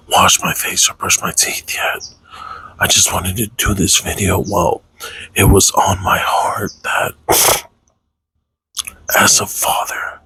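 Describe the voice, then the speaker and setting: A man with a deep, forceful-edged voice that is strongly husky and breathy. He speaks in a halting, reflective way, as in a confessional vlog. His sentences come slowly and hesitantly. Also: no echo, low-quality recording. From United States, raised Tongan American. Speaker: content creator, father.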